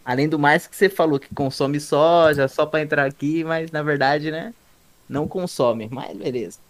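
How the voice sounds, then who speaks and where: A man's voice: on the high side, moderate pace. A man in a casual podcast chat, easygoing and teasing. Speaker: podcast panelist.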